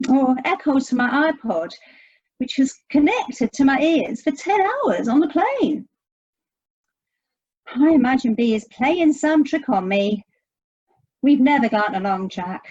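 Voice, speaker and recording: High-voiced, exasperated American woman. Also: lively intonation, measured pace, long pauses, darkish tone, highs cut off. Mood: wry, irritated. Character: anxious, exasperated American woman searching for her estranged sister. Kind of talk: audio play acting.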